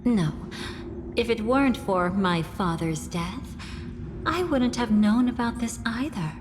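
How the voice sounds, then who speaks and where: An actress playing a composed, calculating woman in a video game, speaking in a measured, watchful way. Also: fairly high pitch, animated, background noise. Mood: grave, pondering. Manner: measured, deliberate. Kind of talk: scripted video game voice acting.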